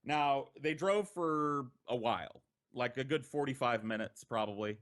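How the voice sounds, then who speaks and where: An American man, a podcast storyteller, recounting an anecdote in a conversational, profane way with dramatic pauses. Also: medium pitch, fairly bright tone. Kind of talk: casual anecdote telling.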